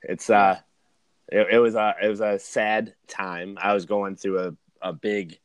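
A man's voice: lowish voice, clean tone, no room sound. A man in his early thirties talking as a podcast guest, casual and slangy, with lots of "like", "man" and "dude". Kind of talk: casual chat, personal storytelling.

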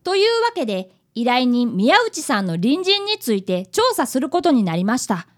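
An earnest young schoolboy apprentice detective in a radio drama. The voice is high and lively, with polite phrasing as he narrates and talks. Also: normal speed. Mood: narrating, wry.

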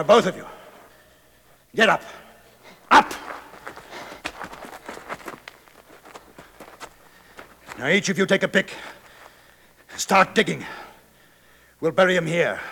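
An actor playing a composed, courteous but firm British gentleman adventurer in a 1950s radio drama, speaking formally. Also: sits high, lively intonation, full character voice. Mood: commanding. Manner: polite, measured, formal.

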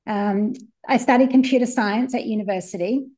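Woman, from New Zealand, interview guest, work futurist, upbeat, enthusiastic, middle pitch. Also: normal speed, a little mellow. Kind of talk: interview answers.

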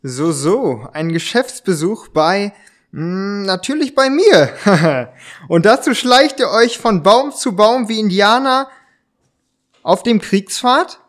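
A man playing a sly, mock-stern gentleman who interrogates intruders in an amateur audio drama, in a halting, drawn-out way. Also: sits high, expressive. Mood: sly questioning.

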